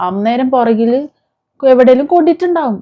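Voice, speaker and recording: High-voiced Malayali woman, muffled lo-fi sound. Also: animated, brisk. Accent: Kerala Malayalam. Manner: indignant, scolding, with rhetorical questions. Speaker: video commentator. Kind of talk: informal reaction commentary to viewers.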